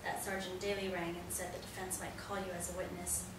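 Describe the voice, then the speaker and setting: A woman's voice: fairly low pitch, level tone, a little fast, close to an everyday voice. An actress in a staged play reading playing a cheerful, protective mother of a grown son, recorded in an echoey room. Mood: concerned. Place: echoey indoor room, far from mic. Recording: very echoey room, distant mic, faint noise.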